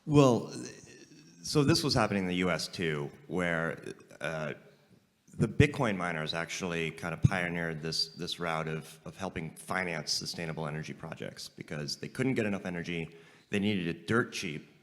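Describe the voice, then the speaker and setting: Very deep-voiced man on a panel stage. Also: moderate pace. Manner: relaxed, joking, explains technical ideas plainly. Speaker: startup CEO, panelist. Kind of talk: conference panel talk. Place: large conference hall, stage. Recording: long hall reverb.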